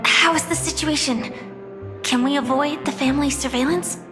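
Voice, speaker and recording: A woman playing a gentle, wistful but resolute young dreamer in a video game cutscene, speaking softly and earnestly. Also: sits high, steady tone, moderate pace, over music, close to an everyday voice. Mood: curious, calm. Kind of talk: dramatic game cutscene acting.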